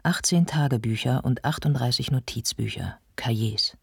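An actor playing a sober male narrator in a radio drama, reading scripted narration in a measured way. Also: medium pitch, vocal fry, breathy edge, no echo, clean studio sound.

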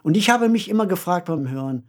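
A man who is an author, talking reflectively in a radio discussion and growing animated when he makes a point. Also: high-pitched, fairly quick.

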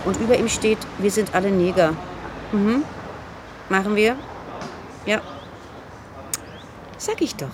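An actress playing an assertive police officer in a radio drama, brisk and matter-of-fact. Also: female voice, medium pitch, noisy background, natural voice. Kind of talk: naturalistic radio drama dialogue.

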